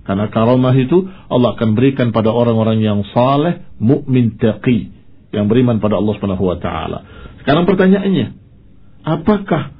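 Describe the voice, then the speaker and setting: Guy doing an islamic lecture, raspy mid-pitched voice, emphatic teacher style, kinda dull lo-fi audio. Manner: didactic, emphatic, repeats key points, poses questions and answers them. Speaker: Islamic preacher. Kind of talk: sermon, religious lecture.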